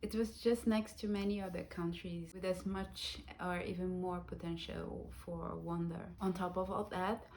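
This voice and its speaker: A woman in her thirties from Brazil, telling her own life story in a reflective, soft-spoken vlog, with Portuguese as her first language. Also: lowish voice, little pitch movement, normal speed, few pauses, slight background noise. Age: 30s. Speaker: vlogger.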